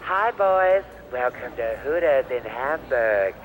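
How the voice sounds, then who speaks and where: A man voicing a brash, cheeky female waitress in a drawn-out, sing-song way. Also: mid-range voice, animated, low-quality recording.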